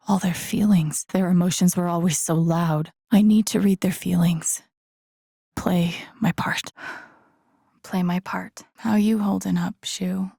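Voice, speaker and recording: A woman voicing a pensive, wounded young woman in a video game, thinking aloud in a soft, breathy, husky, confiding way. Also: medium pitch, no room sound, studio quality, full character voice. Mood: troubled, resolute. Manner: subdued, understated, confiding. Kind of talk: introspective video game inner monologue.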